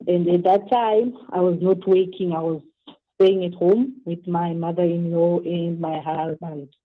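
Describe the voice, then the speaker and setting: A woman in her thirties from Zimbabwe, telling her own story as a phone-in guest, hesitant and often restarting. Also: lowish voice. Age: 30s. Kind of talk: phone-in interview, personal story. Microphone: telephone. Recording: tinny phone line.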